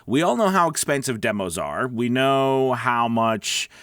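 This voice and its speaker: A man's voice: medium pitch, animated, unhurried, a bit bright, dry recording, studio quality. A man hosting an instructional vlog, talking to viewers in a conversational, emphatic way and often checking in with them. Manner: conversational, emphatic, checks in with "right?" and "you know". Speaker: host of a voice-over advice show.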